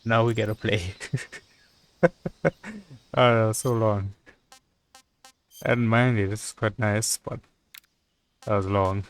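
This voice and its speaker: A man giving casual, relaxed live commentary as he plays a game, muttering asides to himself. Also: on the low side, slow pace, pauses often, clear, resonant tone, faint music behind. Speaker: gaming streamer.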